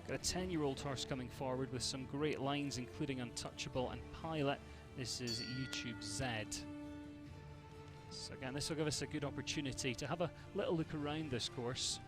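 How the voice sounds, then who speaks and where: A man's voice: mid-range voice, fairly even intonation, moderate pace, music in the background. A man from Scotland with a Scottish accent, giving chatty live commentary on a show jumping event and greeting viewers by name.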